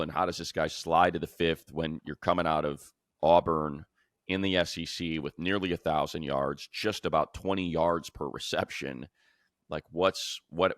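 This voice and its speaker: American male sports host, deep voice, dry studio sound, conversational analysis with emphatic repeats. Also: a bit bright, no room sound. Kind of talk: casual football commentary.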